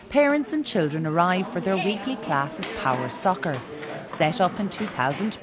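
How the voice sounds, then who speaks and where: Woman reporter voice-over, low but sing-song, music bed underneath. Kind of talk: scripted news voice-over.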